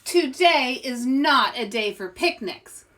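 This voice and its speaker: A woman's voice: high voice, animated, a bit bright, voice pushed up, heavily acted. A woman voicing a small, pompous female chipmunk who pretends to be a great fortune-teller in a children's storybook. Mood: grandiose. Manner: pompous, dramatic, drawn-out declarations.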